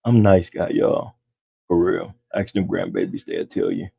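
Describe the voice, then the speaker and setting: A man co-hosting a podcast with his wife, with a deep voice, chatting casually and talking straight to listeners. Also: level tone, moderate pace, gravelly, dark tone, husky, no echo. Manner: laid-back, talks straight to listeners as y'all. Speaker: podcast co-host.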